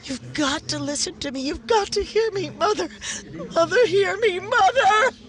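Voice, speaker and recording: Shrill, terrified young woman pleading. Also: normal speed. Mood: desperate terror. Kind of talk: radio horror drama acting.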